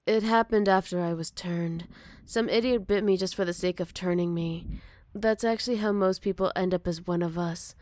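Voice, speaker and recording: A woman voicing a gentle, motherly older werewolf, wistful and protective, in a soft and caring ASMR roleplay. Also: lowish voice, steady tone, dry recording, lightly acted. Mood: wry, reflective. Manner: soft, caring, unhurried, slipping into whispers. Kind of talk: ASMR roleplay, intimate one-sided dialogue to listener.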